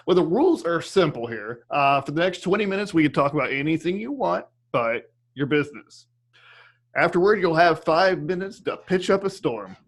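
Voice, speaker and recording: Higher-pitched man hosting a casual podcast. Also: animated, moderate pace. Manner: easygoing, stammering repetitions. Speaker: podcast host. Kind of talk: casual interview chat.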